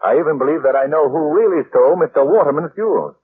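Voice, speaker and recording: An actor playing a shrewd, assured gentleman sleuth in an old-time radio mystery, speaking politely and deliberately in formal phrases. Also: fairly high pitch, expressive, lightly acted. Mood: calm, accusing. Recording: tinny, noisy old radio recording.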